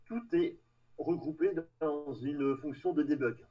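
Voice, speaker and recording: A man giving a live technical presentation in a halting, hesitant way, trailing off between phrases. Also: a little high, highs cut off. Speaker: tech meetup presenter. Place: online video meeting with screen share. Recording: distant mic on online call.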